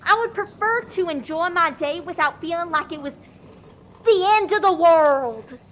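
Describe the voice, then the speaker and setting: A woman's voice: very high voice, animated, normal speed, a bit bright, a little hiss or hum. A woman playing a polite but cutting waitress in a comic radio play. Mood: pleading.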